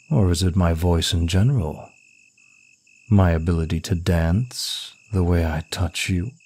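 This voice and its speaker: Guy doing a smug sexy demon daddy for asmr rp, real deep voice, talks slow n teasing, super seductive. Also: dark tone, full character voice. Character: suave male demon of lust, seductive and smug. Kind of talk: ASMR roleplay acting.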